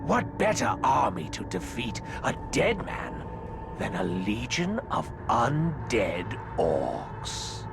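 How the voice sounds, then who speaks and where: An actor playing a menacing, mocking orc necromancer in a video game, theatrical and gloating. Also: male voice, mid-range voice, huge pitch swings, slow pace, vocal fry, heavily acted. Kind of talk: video game villain acting. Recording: loud music behind the voice.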